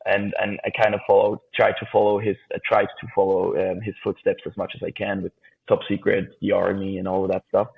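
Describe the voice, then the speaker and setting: Gravelly Swiss man on a tinny line. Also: low-pitched, completely flat delivery, fairly quick. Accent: Swiss with some American. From Switzerland. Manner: laughs often, restarts phrases. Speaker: guest drummer. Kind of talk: casual interview answers. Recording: tinny phone-like remote line.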